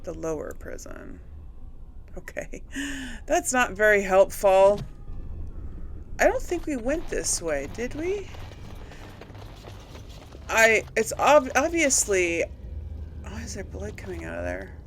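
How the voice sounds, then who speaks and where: A woman hosts a casual video game playthrough at a slow, unhurried pace. She talks to her viewers in a chatty way, thinking out loud and questioning herself. Clear background noise and game ambience sit behind her voice. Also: medium pitch, very animated. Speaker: video game streamer and series host. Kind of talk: casual let's play commentary.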